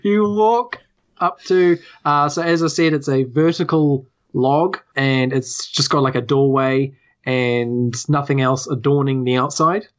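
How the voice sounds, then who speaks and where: A man's voice: mid-range voice, a little slow, muffled recording. A man with a New Zealand accent improvising narration as game master of a tabletop game.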